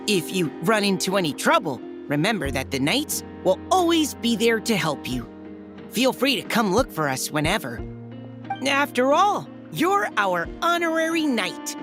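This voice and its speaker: A woman's voice: mid-range voice, big pitch swings, measured pace, music in the background. A woman voicing an earnest, slightly flustered young knight courier in a video game, polite and hesitant. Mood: earnest, reassuring. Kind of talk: anime-style video game voice acting.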